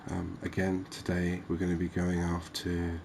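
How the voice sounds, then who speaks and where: A man giving soft-spoken ASMR game commentary as the host, in a gentle, unhurried, hesitant way. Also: sits low, completely flat delivery, creaky, background noise. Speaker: gaming video host.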